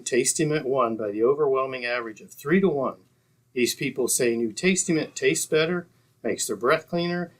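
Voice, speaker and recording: A man reading scripted announcements as an old-time radio announcer. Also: mid-range voice.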